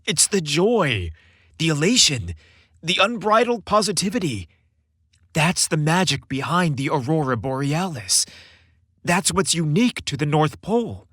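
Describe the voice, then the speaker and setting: An excitable young man from a storybook, voiced by a male narrator. He is cheerful and playful, stressing his words with enthusiasm and a very animated pitch, recorded dry and close. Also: measured pace, slightly rough, no echo, heavily acted. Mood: excited, elated.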